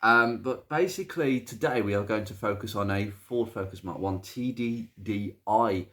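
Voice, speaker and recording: A British man with a slightly croaky voice from hay fever, presenting an informal car video in a chatty, hesitant way with rambling asides. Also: on the low side, moderate pace, fairly bright tone. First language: English. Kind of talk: informal car video commentary, reading out a viewer's message.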